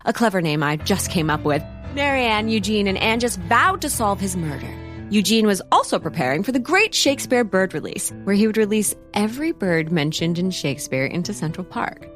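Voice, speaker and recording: A woman's voice: mid-range voice, very animated, a little fast, lightly acted. Serial narrator, female actor: dramatic, playful, swooping delivery over strong music bed, comic audio drama. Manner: theatrical, playful. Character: animated, playful narrator of a murder-mystery serial. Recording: loud music under voice.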